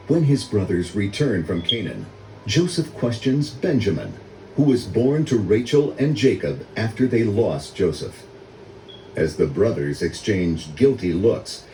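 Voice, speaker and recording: Mellow, lowish older male storyteller. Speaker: narrator. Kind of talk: scripted storybook read-along narration. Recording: roomy, distant, faintly noisy audio.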